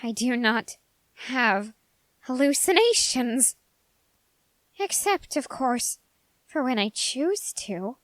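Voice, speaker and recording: A woman voicing a dark, obsessive young man in an ASMR roleplay, speaking formally in deliberate, halting phrases that can slip toward a whisper. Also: a little high, very animated, no echo, exaggerated voice. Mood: indignant, insistent. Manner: silky, formal menace, slipping into whispers.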